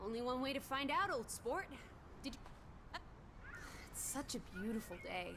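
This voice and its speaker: A woman's voice: high voice, big pitch swings, moderate pace, background noise. An actress playing a playful young 1920s American woman in a video game, teasing in jaunty old-fashioned slang. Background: music.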